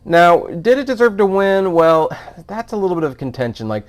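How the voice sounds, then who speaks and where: A man's voice: high-pitched, big pitch swings, moderate pace. A man hosting a film review, talking informally and giving his opinions in a conversational commentary style.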